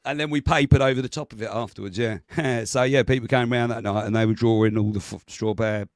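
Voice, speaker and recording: A man chats over a video call in a loose, rambling way, laughing as he goes. His voice is still a little rough and he keeps clearing his throat. The sound is very dry, with no room in it. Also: mid-range voice, steady tone, quick pace, dry recording. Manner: rambling, laughs often, restarts sentences. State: voice recovering, clears throat often. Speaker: guest with local knowledge. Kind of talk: casual chat on a video call.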